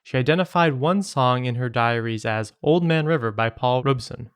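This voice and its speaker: A man's voice: mid-range voice, moderate pace, clear, resonant tone, no room sound. Man co-hosting a casual podcast. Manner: joking asides. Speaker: podcast co-host. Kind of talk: reading listener email aloud with casual banter.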